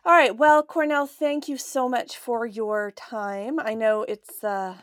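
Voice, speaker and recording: A woman's voice: a little high, steady tone, takes it slowly, no room sound, studio quality. A woman in her late forties hosting a podcast in a casual, conversational way. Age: late 40s. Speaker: podcast host.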